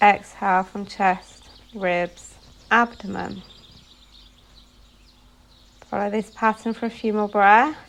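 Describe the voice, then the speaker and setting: A woman leading a guided meditation as a yoga nidra instructor, giving soothing instructions phrase by phrase with pauses. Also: mid-range voice, steady tone, creaky, fairly bright tone.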